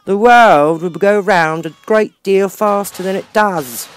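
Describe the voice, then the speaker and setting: A man voicing a gruff, bad-tempered old Duchess in a hoarse growl, barking with drawn-out emphasis, in a storybook reading. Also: high voice, dry recording, voice pushed up. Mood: growling, commanding.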